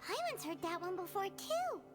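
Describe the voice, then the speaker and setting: A woman voicing a tiny childlike fairy companion in a video game, with a squeaky, exclamatory delivery. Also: very animated, moderate pace, music in the background. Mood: wry. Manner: exclamatory, refers to herself in third person. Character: tiny childlike floating fairy companion, chirpy, easily startled.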